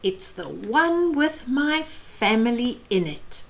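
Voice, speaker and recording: A woman voicing a small, earnest, gently fretful mother bird in a children's storybook. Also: on the high side, sing-song, slow pace, creaky, heavily acted. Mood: content.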